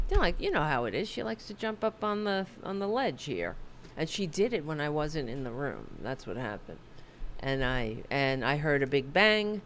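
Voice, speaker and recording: A woman's voice: deep voice, a little hiss or hum. A woman hosting a casual talk livestream from her home office, a bit under the weather and losing her voice, rambling and self-deprecating. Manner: rambling, chatty, self-deprecating, swears and corrects herself. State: losing her voice, a little sick. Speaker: host of a political talk livestream.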